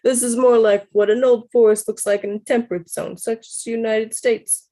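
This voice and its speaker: A woman's voice: fairly high pitch, steady tone, moderate pace, mellow, pitched above the performer's own voice, natural voice. A woman putting on a Brooklyn accent to voice a fit, friendly man in his mid-forties, explaining things in a chatty way while reading a novel aloud to friends. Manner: chatty and explaining, with playful laughs breaking through.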